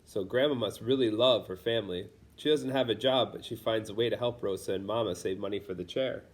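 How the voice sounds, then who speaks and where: A man reading a children's picture book aloud as a storyteller, pausing to explain things to young listeners. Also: on the low side. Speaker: storybook reader.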